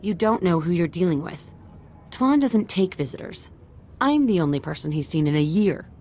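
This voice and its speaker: An actress playing a guarded young assistant in a video game, with a casual but wary way of talking. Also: female voice, fairly low pitch, lively intonation, moderate pace, darkish tone, slight background noise, close to an everyday voice. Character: guarded young female assistant working off debt.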